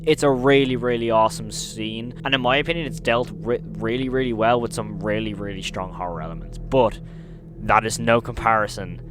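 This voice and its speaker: A man's voice: lowish voice, fairly even intonation, fairly quick, music in the background. A man hosting a casual recap commentary, telling the story emphatically and leaning on intensifiers. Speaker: video host and narrator.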